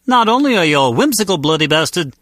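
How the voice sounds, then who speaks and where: A man's voice: a little high, big pitch swings, quick pace, a bit bright, no room sound, clean studio sound. A man voicing a cocky British henchman in a video game, teasing and accusing as he talks. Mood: mocking.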